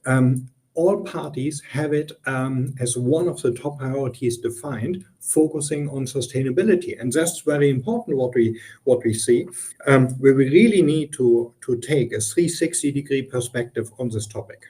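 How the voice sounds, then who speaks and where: A man's voice: medium pitch, normal speed, dark tone, rough recording. A middle-aged man from Germany with a German accent, explaining business topics earnestly in an interview, with frequent ums and restarts. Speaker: guest consultant.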